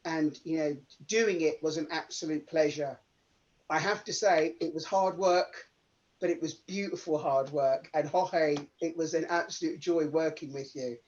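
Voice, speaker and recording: A man speaking as an actor in an informal, heartfelt panel Q&A, effusive and halting as he repeats words. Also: on the high side. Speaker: actor in a post-show Q&A. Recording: dull, lo-fi sound.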